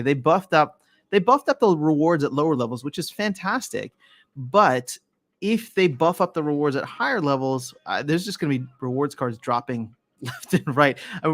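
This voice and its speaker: A man's voice: on the high side, fairly bright tone, no echo. Chatty man on a dry close mic. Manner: rambling, self-correcting, restarts phrases often. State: voice slightly worn from use. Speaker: livestreamer. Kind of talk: casual livestream chat reading viewer comments.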